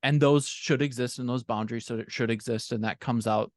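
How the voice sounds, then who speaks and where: A man's voice: mid-range voice, steady tone, a little fast, no room sound. A man talking conversationally as a guest in a podcast interview, explaining things in an informal, thoughtful way. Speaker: podcast guest, fiction co-author.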